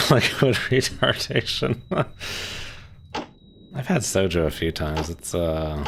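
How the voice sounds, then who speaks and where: Deep-voiced young man streaming a game. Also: expressive, slow pace, creaky, a bit bright. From Maryland, USA. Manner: casual, swears lightly, laughs often. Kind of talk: casual gameplay commentary, chatting with viewers.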